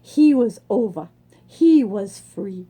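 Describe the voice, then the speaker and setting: A woman reading a children's storybook aloud expressively, savoring words with dramatic emphasis and pauses. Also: medium pitch, lively intonation, mellow, slightly rough.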